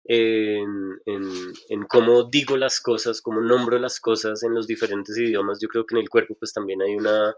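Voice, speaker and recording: A man's voice: fairly low pitch, fairly even intonation, moderate pace, hardly any pauses. A middle-aged man, a stage performer, answering interview questions thoughtfully with frequent hesitations and restarts.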